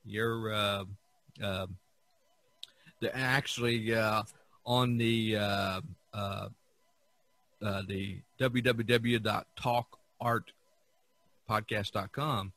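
A man's voice: lowish voice, steady tone, extremely slow, pauses often, fairly bright tone, no echo. A man hosting a podcast in a casual, rambling, folksy way. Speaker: podcast host. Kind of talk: casual chat.

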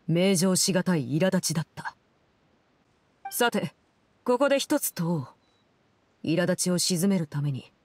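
A cold, commanding woman villain from an anime game. She speaks with measured formality and detached authority, telling things solemnly. The sound is close and very dry. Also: lowish voice, no room sound. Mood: coolly probing. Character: cold, composed, commanding female Fatui Harbinger in her prime. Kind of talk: Japanese anime game voice acting, solemn storytelling.

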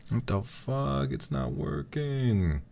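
A man streaming a horror game in a soft, intimate ASMR style close to the microphone, muttering and sometimes whispering. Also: mid-range voice, takes it slowly, gravelly, background noise. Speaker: livestreamer playing a horror game.

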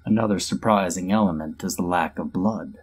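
A man narrating a first-person horror story in a steady storytelling voice with dry humor. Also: sits low, a little fast, breathy edge. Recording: music bed under the voice.

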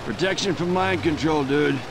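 An actor voicing a paranoid, stoned aging hippie in a video game, rambling in a spaced-out stoner drawl full of hippie slang. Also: male voice, fairly high pitch, moderate pace, natural voice. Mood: conspiratorial. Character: paranoid, stoned aging hippie man, laid-back conspiracy theorist. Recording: loud vehicle noise behind the voice. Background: vehicle engine and road noise.

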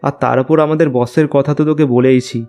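A man's voice: medium pitch, fairly even intonation, brisk, mellow, faint music behind, natural voice. A man playing a young journalist, narrating his own ghost story in a scripted cartoon style. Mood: apologetic. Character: young male journalist recounting an eerie night. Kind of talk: scripted first-person horror story narration.

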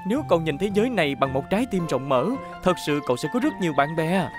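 A woman's voice: deep voice, animated, fast talker. A woman voicing a brave, kind and decisive young rescue-team leader in a dubbed children's cartoon, encouraging and commanding. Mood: kind, sincere. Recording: loud cartoon music underneath.

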